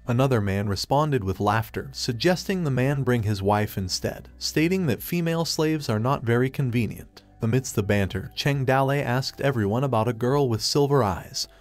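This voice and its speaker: A man reads out a long story recap at a middle pitch, neither high nor low. His delivery is steady and even, moving through scenes and reported dialogue in a level storytelling tone. It sounds like scripted narration rather than free talk.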